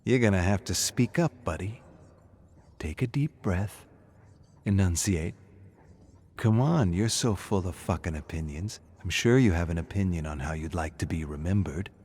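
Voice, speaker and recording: A man voicing a sadistic, coldly mocking killer in a horror story, often slipping into a slow, cruel whisper. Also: deep voice, a little mellow, slightly rough. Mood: mocking taunts. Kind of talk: audio horror story character.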